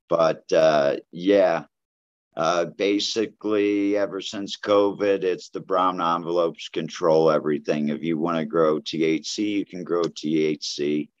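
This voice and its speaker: Slow, blunt man on a dull recording. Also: low voice, no echo. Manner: blunt, rambling with many fillers. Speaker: cannabis grower guest. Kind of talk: casual interview talk. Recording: dull lo-fi audio.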